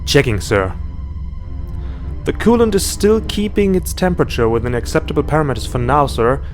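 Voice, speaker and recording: A man's voice: on the high side, animated, normal speed, lightly acted. Audio drama bit part: male actor as a dutiful starship ensign, clipped and deferential, faint music underneath. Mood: dutiful, businesslike. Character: young male navy ensign, dutiful junior officer. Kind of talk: sci-fi audio drama acting.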